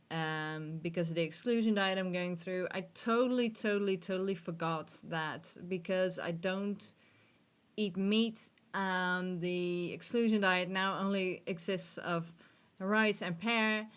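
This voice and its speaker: Woman in her thirties, fairly low voice, earnest, self-deprecating, candid vlog to camera. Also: a little slow, a bit bright, smooth. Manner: earnest and open, with self-deprecating laughs and restarts. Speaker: vlogger. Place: dim room, seated in a gaming chair.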